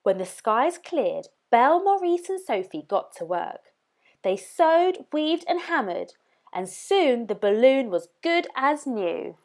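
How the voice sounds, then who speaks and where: A woman reading a children's story aloud as narrator, with expressive, dramatic emphasis. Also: high voice, slow pace.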